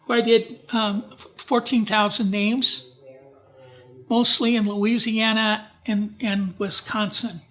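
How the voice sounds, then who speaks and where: Slow, halting man giving a talk. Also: sits high, flat delivery, fairly bright tone. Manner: halting, with frequent restarts and asides to the audience. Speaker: genealogy presenter. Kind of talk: informal library talk with slides. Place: library event room.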